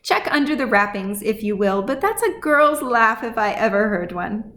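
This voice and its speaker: A woman voicing a kind but bossy dwarf widow in a fantasy audiobook, brisk, assured and folksy. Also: a little high, very animated, a bit bright. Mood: brisk, kindly. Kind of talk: audiobook character acting.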